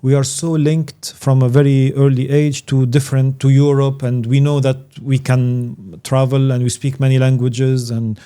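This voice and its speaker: Earnest Tunisian man with a warm, dark voice. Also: mid-range voice, little pitch movement, normal speed, hardly any pauses, clear, resonant tone. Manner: earnest, emphatic, repeats key words. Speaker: guest, branding consultant. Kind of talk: conversational interview answers.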